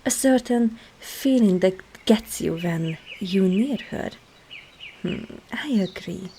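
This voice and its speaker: Girl doing a sly potion witch, asmr roleplay, mellow whispery voice, coaxing, bit of noise behind her. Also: medium pitch, lively intonation, slow. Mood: curious. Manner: soft, breathy, often whispering, coaxing.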